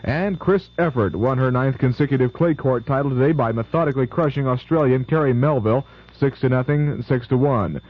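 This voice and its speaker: Man, newscaster, mid pitch, brisk reading, dull old AM radio sound. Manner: brisk, even news delivery. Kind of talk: sports and weather news reading. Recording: lo-fi 1970s AM radio.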